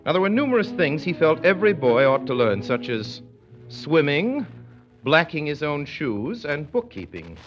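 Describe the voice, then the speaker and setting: An actor playing a grown son wryly recalling his father in measured, precise 1930s radio narration. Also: a little high. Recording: muffled vintage 1930s radio recording.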